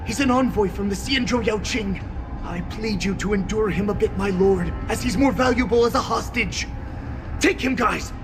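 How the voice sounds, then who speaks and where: A man's voice: sits high, normal speed, over music. A fawning beast-man counselor. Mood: servile. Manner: deferential and servile toward his lord. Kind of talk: video game voice acting.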